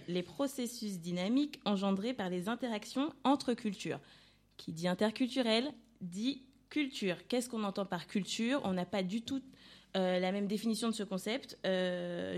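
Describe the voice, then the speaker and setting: A woman's voice: mid-range voice, a little mellow. A young woman reporter presenting a topic on a student radio show, with frequent hesitations and fillers. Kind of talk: radio segment presentation and street interviews.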